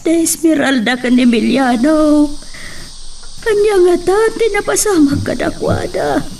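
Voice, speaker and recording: A woman's voice: sits high, a little slow, creaky, darkish tone. A woman playing a sorrowful, worried figure in an audio drama, lamenting with plaintive sighs. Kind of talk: Ilocano radio-style drama acting. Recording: distant mic, faint hiss and ambience.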